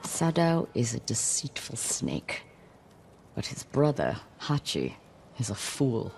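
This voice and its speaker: A woman acting a bitter, vengeful older noblewoman warrior in a video game, severe and proud, with a gravelly voice. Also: extremely low pitch, very animated, slow pace, darkish tone, soft background music, exaggerated voice. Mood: cold contempt.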